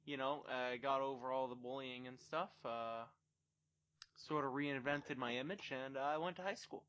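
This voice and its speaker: A young man in casual banter, joking and swearing, with many fillers when he slows down. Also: mid-range voice, fairly bright tone, muffled recording. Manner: joking, swears often, mumbles with fillers when he turns earnest.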